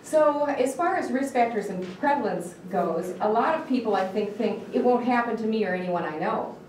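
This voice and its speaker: A woman's voice: a little high, expressive, normal speed, darkish tone, a little hiss or hum. Woman, Michigan accent, dietitian teaching a class; earnest, questions the room, restarts her words; distant mic in a very echoey room. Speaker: dietitian giving a talk. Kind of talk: live educational talk to an audience.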